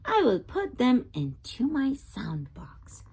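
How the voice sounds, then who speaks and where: A woman's voice: high voice, wildly swooping pitch, takes it slowly, darkish tone, breathy edge, voice pushed up, full character voice. A woman voicing a kindly, helpful little boy in a children's storybook, speaking in earnest, simple declarations. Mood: eager.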